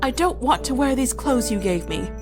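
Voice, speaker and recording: A woman's voice: high voice, animated, normal speed. A woman playing a naive, indignant alien woman in a fan audio drama, speaking earnestly in formal, stilted wording. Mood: angry, indignant. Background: background music.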